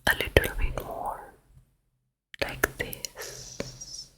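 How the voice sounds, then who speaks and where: A woman voicing a vain, commanding fairytale queen in a hushed, deliberate whisper for an ASMR roleplay. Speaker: ASMR performer.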